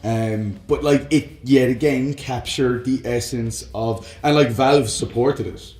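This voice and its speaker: A man's voice: medium pitch, a little slow, darkish tone. Man chatting with rambling enthusiasm, roomy echo. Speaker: podcast co-host. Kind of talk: casual chat about film and games.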